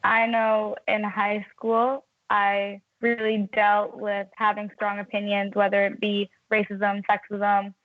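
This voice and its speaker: A woman's voice: medium pitch, completely flat delivery, slow pace, hardly any pauses, dry recording. A woman from India, English not her first language, talking earnestly as a guest. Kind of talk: casual conversation. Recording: tinny phone line.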